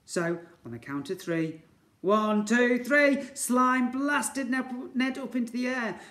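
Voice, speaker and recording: A man's voice: very high-pitched, takes it slowly, a bit bright. A man reading a children's story aloud in a theatrical way. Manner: theatrical, exclaims sound words. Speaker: school story reader. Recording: echoey room.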